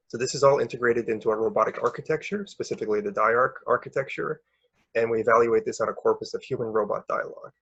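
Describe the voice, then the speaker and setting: A young man presents research in a lowish voice that is heavily creaky and very rough. He explains things informally and hesitantly, often repeating a word before going on. The recording is somewhat dull and lo-fi. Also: a bit bright. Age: young adult. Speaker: researcher presenting a conference paper.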